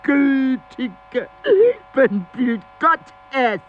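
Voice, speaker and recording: An actor playing an agitated man in an experimental radio play, reciting in slow, broken phrases with wordplay. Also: very high-pitched, full character voice. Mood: declaiming. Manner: drawn-out, fragmented, word-play delivery. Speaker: radio drama performer. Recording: dull broadcast tape with mains hum.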